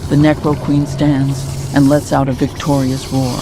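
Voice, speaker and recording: A man's voice: mid-range voice, monotone, a little slow, very noisy. A man giving dramatic scripted narration for a horror audio drama.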